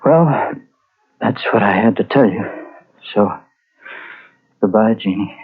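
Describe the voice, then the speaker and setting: Brooding killer, muffled old radio. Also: male voice, lowish voice, takes it slowly, husky. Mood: bitter, resigned. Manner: brooding, dramatic. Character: guilt-ridden man confessing a murder, weary and bitter. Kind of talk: 1940s radio mystery acting, first-person narration. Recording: muffled old radio recording with music behind.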